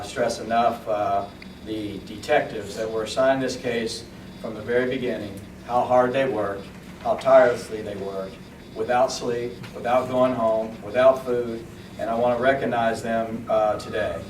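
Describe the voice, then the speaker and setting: A man, a deputy police chief of investigations, speaking earnestly at a press conference, thanking colleagues with frequent pauses. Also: medium pitch. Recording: echoey room, distant mic, background noise.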